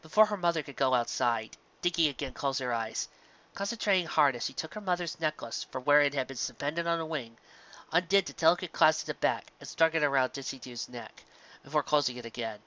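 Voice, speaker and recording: Fan-fiction narrator, bright, forward tone, scripted storytelling, somewhat muffled lo-fi home recording. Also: medium pitch, brisk.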